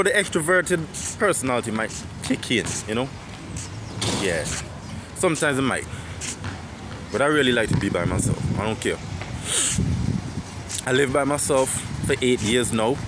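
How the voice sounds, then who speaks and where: A man in his twenties with an Antiguan accent and a deep voice, rambling and reflective in a casual personal monologue, often repeating himself and swearing. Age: 20s. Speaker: vlogger. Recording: loud outdoor street noise.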